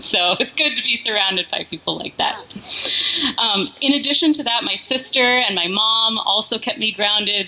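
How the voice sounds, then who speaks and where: A woman's voice: medium pitch, sharp tone, slight background noise, low-quality recording. A woman in her forties from the Bay Area gives a casual, heartfelt welcome speech as an organization's founder, with frequent fillers and restarts. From Bay Area, California, US. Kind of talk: casual live speech to a party crowd. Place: indoor event venue.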